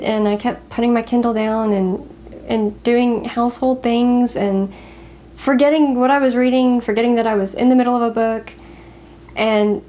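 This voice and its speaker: A woman co-hosting a casual book chat, talking in an expressive, confiding way. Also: fairly high pitch. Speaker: co-host of a book review show.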